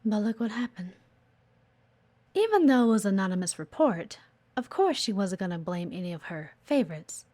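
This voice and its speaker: A woman's voice: mid-range voice, sing-song, normal speed, a little mellow. A woman playing a blunt, guarded but earnest executive assistant in an audio roleplay, assertive and exasperated. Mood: earnest, admiring. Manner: exasperated, assertive, frequent sighs. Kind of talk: audio roleplay acting addressed to the listener.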